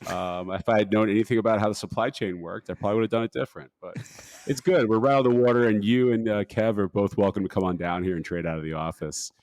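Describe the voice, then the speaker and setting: A man who is a hedge fund manager, guest on a podcast, talking in a relaxed, casual way with frequent fillers and easy laughs. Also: fairly low pitch, fast. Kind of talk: casual market chat.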